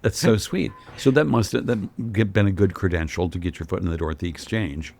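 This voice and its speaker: A man's voice: deep voice, fairly quick, vocal fry, darkish tone. A man hosting a casual interview, asking curious questions and answering with short affirmations. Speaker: host.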